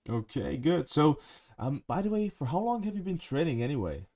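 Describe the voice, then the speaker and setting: A man's voice: on the high side, very animated, moderate pace, dark tone. Man hosting a friendly interview. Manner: friendly, asks questions with frequent "uh" fillers. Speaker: interview host. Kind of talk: hosted interview over video call.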